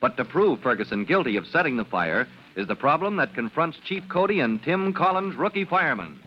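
Male vintage serial announcer: polished, dramatic, highly animated, on a dull, faintly noisy recording. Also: a little fast. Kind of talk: old-time radio announcing. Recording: dull, hissy old radio recording.

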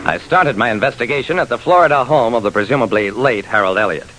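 A man's voice: mid-range voice, fast, natural voice. An actor playing a hard-boiled private detective narrating his casebook in a wry, dramatic, punchy radio style. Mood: determined. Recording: hissy old radio recording.

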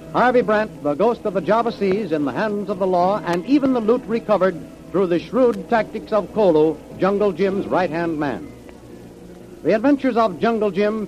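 A male announcer reading a scripted narration and promotion in old-time radio style. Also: sits high. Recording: dull old radio recording. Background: music.

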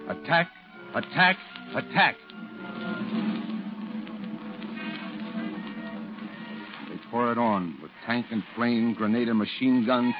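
An actor playing a solemn war correspondent, narrating a 1940s radio drama in a grave, declamatory way. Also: male voice, mid-range voice, lightly acted. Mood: fervent, rousing. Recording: dull old radio recording with music.

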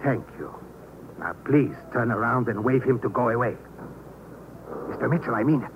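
An actor playing a polite, menacing saboteur in 1950s radio thriller style, speaking formally and precisely with cool threat. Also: male voice, medium pitch, normal speed, natural voice. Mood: cool, controlled threat. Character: polite, menacing male saboteur with a briefcase bomb. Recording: dull, noisy old radio recording.

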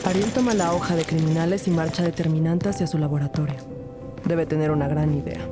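Actress as low-voiced woman detective narrator, music behind. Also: steady tone, a little fast, lightly acted. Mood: tense narrating. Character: determined female police detective narrating the case. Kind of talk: scripted first-person audio drama narration.

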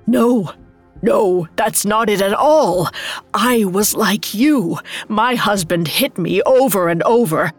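A woman voicing an older, dying mother superior in a dark fairy tale, halting and pleading, by turns fanatical and remorseful. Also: medium pitch, soft background music. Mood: desperate, pleading.

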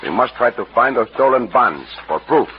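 A man's voice: medium pitch, animated, normal speed, lightly acted. A man playing a gallant, confident cowboy adventurer with a Mexican accent and courteous, formal speech in an old radio western. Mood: earnest, determined. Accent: Mexican Spanish. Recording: muffled, noisy vintage radio recording.

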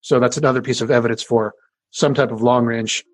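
A middle-aged man with a deep voice, an engineering professor casually explaining how speech releases particles, full of fillers and restarts. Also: fairly even intonation, a little fast, no room sound, soft background music. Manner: conversational, many fillers and restarts. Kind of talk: explanatory interview answers.

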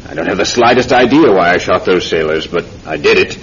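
A man's voice: low voice, expressive, fairly quick, fairly bright tone. A man playing a stoic, resigned army colonel in a classic radio drama, speaking in a stiff, formal, soldierly way. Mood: resigned, defiant. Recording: muffled old radio recording with faint hiss.

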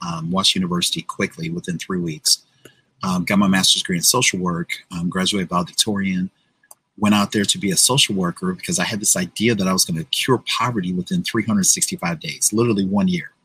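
An American man in his forties with a husky voice, telling his story casually as an interview guest, often checking in with the listener. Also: sits low, steady tone, brisk, bright, forceful tone, dry recording, poor audio. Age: 40s.

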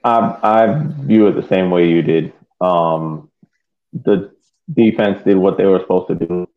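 A man co-hosting a sports podcast, giving casual soccer analysis in a measured, hesitant way, repeating phrases as he reasons. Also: sits low. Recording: tinny phone-like connection.

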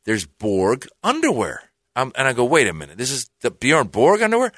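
Bright-voiced man telling an animated story. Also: medium pitch, normal speed, dry recording, clean studio sound. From Iran. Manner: animated, rambling, with restarts and acted-out quotes. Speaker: comedian guest. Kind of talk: casual storytelling chat.

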